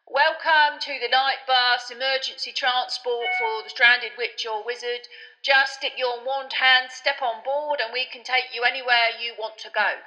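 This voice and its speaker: A woman voicing a brash teenage male bus conductor who talks loud, slangy and cheeky. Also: high-pitched, expressive, fairly quick, long reverb tail, voice pushed up, rough recording.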